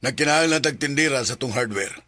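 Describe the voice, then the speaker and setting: A man's voice: mid-range voice, lively intonation, quick pace, background noise, close to an everyday voice. An actor playing a stern, dismissive father in a radio drama. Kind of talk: Philippine radio drama acting.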